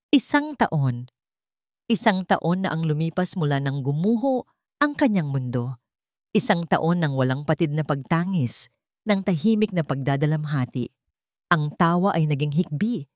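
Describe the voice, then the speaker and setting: Female storyteller, lower register, melodramatic scripted delivery, close dry recording. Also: darkish tone, no room sound. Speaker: story narrator. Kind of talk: dramatic scripted narration of a romance story.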